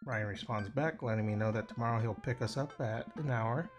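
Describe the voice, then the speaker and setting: A man with a mid-pitched voice livestreams a game in a casual, informal way. He reads the dialogue aloud, a little hesitant and prone to stumbling, with faint game music playing underneath. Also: brisk, muffled recording. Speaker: game streamer.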